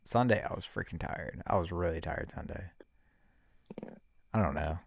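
A man from South Carolina co-hosting a podcast, talking loudly and laughing often in casual chat. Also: deep voice, normal speed, creaky, husky, no echo. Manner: loud, laughing a lot. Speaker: podcast co-host.

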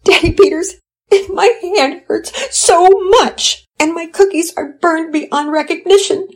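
A woman voicing a distraught middle-aged mother who talks through sobs, halting and stammering. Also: on the high side, steady tone, gravelly, warm tone, breathy edge, voice pushed up, full character voice. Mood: tearful.

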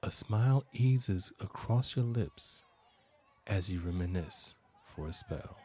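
A man narrating a guided meditation as a podcast host, with measured, soothing, deliberate phrasing. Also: sits low, animated, a little mellow.

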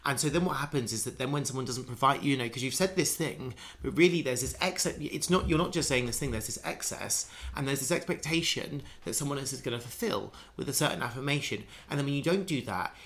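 A man's voice: mid-range voice, animated, fast talker, few pauses, fairly bright tone. A man in casual conversation, musing at length with many restarts and fillers. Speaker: conversation partner.

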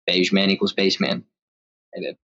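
Dull lo-fi recording of a low-voiced man. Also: completely flat delivery, moderate pace, bright, forceful tone. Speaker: viewer sending a recorded message. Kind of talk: informal recorded message. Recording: dull lo-fi voice message.

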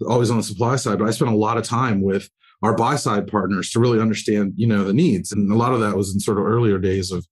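A man hosts a podcast in a friendly, enthusiastic way, reading sponsor copy and asking questions. His voice is low and a bit husky. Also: steady tone, fast. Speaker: podcast host. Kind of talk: conversational podcast hosting.

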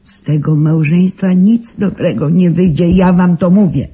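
A frail old woman who lives in the past. Her voice is very husky and dull-toned, with slow delivery. She rambles on stubbornly and insistently, as heard in a radio drama. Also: on the low side, mellow. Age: older.

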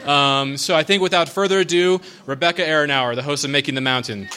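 A man's voice: fairly high pitch, fast talker, background noise. Upbeat man hyping a live crowd. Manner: hyping the audience, casual swearing. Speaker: event curator warming up the crowd. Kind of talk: live stage welcome.

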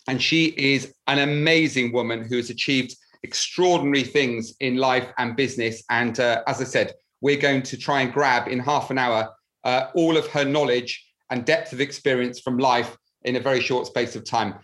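A man's voice: mid-range voice, fairly even intonation, normal speed, fairly bright tone. Man, podcast host, mid-range voice, upbeat scripted intro. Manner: enthusiastic, polished scripted intro.

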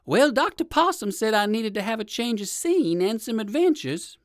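A woman voicing a polite, curious old gentleman rabbit in a children's storybook, with courteous old-fashioned manners. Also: fairly high pitch, very animated, moderate pace, no echo, studio quality, pitched above the performer's own voice. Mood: resolved. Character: polite, eager elderly gentleman rabbit with rheumatism.

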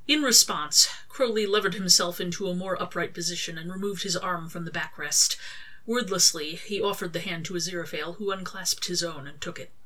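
A woman's voice: lowish voice, brisk, sharp tone. A woman narrating her own scripted fiction as an audiobook-style reading. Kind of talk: scripted story narration with acted dialogue.

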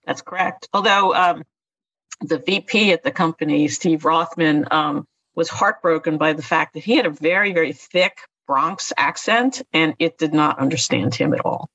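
A man's voice: fairly high pitch, normal speed, pushed and bright, dry recording, muffled recording. An older man from Philadelphia with a slight Philadelphia accent, chatting casually and laughing often in a panel discussion.

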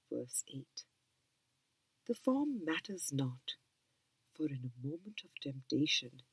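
A woman reads in a hushed, calm whisper with a low, breathy voice. She takes her time, letting each phrase settle slowly. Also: rough recording. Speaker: reader. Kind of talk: soft whispered reading of a spiritual book.

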